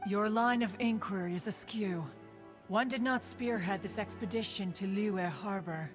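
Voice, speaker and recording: A woman's voice: medium pitch, moderate pace, lightly acted. An aloof ancient spirit from a game corrects others in lofty, archaic words, voiced by a woman. Her tone is cool and superior. Game music swells behind her. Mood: haughty.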